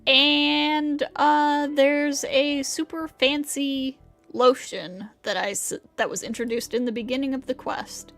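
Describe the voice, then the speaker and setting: A woman livestreamer chatting casually and a bit haltingly while playing a game. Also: high-pitched, steady tone, fairly bright tone, dry recording, soft background music.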